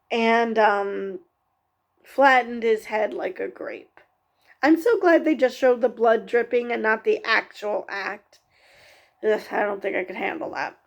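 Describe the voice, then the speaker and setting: A woman casually recapping a TV episode, halting often with ums and correcting herself mid-sentence. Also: fairly high pitch, vocal fry. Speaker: TV review vlogger.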